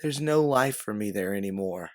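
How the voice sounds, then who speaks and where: A man's voice: lowish voice, animated, normal speed, no room sound, clean studio sound, lightly acted. A man voicing a desperate, weeping woman who pleads, in a story. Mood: tearful, pleading.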